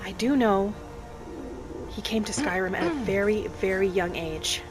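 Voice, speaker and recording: A gentle young elf woman, played by a woman gamer, narrates her own fantasy game journey. She is soft-spoken and unhurried, musing to herself at a medium pitch. Music plays clearly behind her voice. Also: animated, lightly acted. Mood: calm, musing. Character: gentle young female wood elf alchemist and forager. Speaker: player of a solo fantasy roleplay video series.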